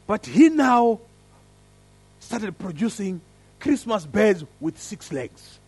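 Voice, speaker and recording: A man with an African accent preaches in a church service, his voice husky and fairly high. He tells his story with lively swings of pitch and earnest emphasis, building on key words. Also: slow. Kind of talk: sermon with prayer and story.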